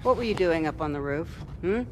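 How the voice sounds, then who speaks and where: A woman's voice: low-pitched, expressive, a little fast, a little mellow. An actress playing a stern, questioning, motherly older woman in a video game, with a scolding manner. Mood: suspicious, scolding. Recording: clearly noisy, ambience behind.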